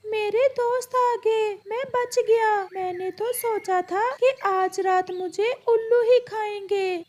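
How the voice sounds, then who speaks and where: A woman's voice: very high voice, fairly even intonation, slow pace, a little mellow. A woman voicing a naive male parrot in a cartoon, with a plaintive manner. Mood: relieved.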